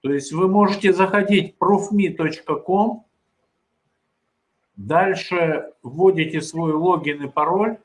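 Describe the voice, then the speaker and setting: A man's voice: sits high, takes it slowly, low-quality recording. A man working as a conference tech moderator, patiently talking a speaker through uploading and flipping slides on a video call. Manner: patient, instructive, talks through steps. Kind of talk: casual tech support over video call.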